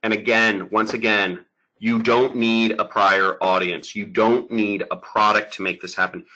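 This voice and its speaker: A man presenting a sales webinar over slides, talking in an energetic, emphatic, salesy way. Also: on the low side, measured pace, lo-fi sound.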